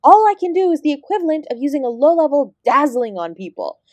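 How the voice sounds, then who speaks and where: A woman acts as an immortal celestial dancer and guru in an audio roleplay. Her voice is high and closely recorded with no room sound. She is sardonic and fiercely independent, addressing the listener with exasperated, emphatic bite. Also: very animated, fast, darkish tone, no room sound. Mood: sarcastic.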